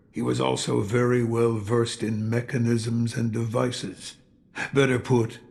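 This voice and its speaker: An actor playing a gruff, weary old retired shinobi in a video game, with a gravelly voice. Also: male voice, fairly low pitch. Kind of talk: dramatic video game dialogue.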